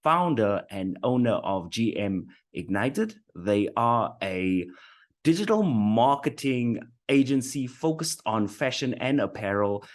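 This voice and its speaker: A man's voice: mid-range voice, very animated, slow pace. A man hosting a marketing interview show, upbeat, hyping up his guest and often stumbling over his words. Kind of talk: casual interview chat.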